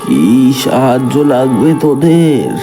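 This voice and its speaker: A man voicing a wise, gruff old village uncle in a cartoon, speaking deliberately and questioningly. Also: mid-range voice, very animated, over music. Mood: gruff, doubting. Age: older. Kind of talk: cartoon character acting.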